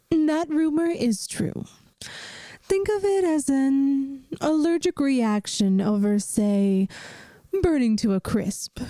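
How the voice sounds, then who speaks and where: A woman plays a kindly vampire schoolteacher with a dry wit, in an intimate ASMR roleplay. Her female voice sits a little high yet stays mellow. She speaks slowly and reassuringly, straight to the listener. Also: dry recording, full character voice. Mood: patient, wry. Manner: gentle, soothing, speaks directly to the listener. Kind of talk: ASMR audio roleplay, scripted.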